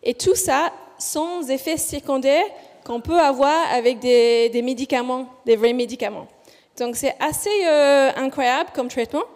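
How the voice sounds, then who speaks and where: High-voiced Australian woman presenting in a hall. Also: a little mellow. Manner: speaks slowly and deliberately, with frequent hesitations and self-corrections. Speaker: physiotherapist researcher presenting a study. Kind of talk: conference presentation. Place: large conference hall. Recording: long hall reverb.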